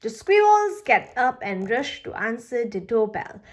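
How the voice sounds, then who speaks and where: Slow, expressive woman reading a children's storybook. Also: fairly high pitch. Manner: deliberate, expressive delivery for young children. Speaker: storybook reader. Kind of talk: children's read-aloud narration.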